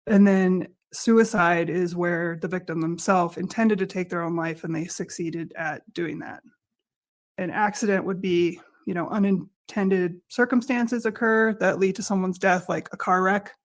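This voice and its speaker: Raspy woman with a head cold. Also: on the low side, fairly even intonation, unhurried. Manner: clear, methodical explaining. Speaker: investigator and podcast guest. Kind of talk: explanatory podcast talk.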